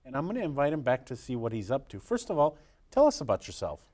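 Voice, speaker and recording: Male radio host, mid-pitched, friendly and chatty interviewer. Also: huge pitch swings, quick pace, mellow. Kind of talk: public affairs interview. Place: radio studio.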